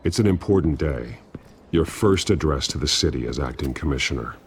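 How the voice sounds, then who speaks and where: An actor playing a grim, resolute masked vigilante hero in a video game, speaking tersely and solemnly. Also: male voice, a bass voice, expressive, a little fast, a little hiss or hum. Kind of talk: video game dramatic acting.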